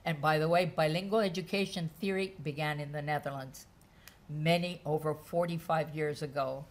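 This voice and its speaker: Brief: female lecturer with a lowish voice, measured and methodical, stepping through her points one by one. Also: steady tone. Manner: measured, enumerating points in lists. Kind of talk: academic lecture.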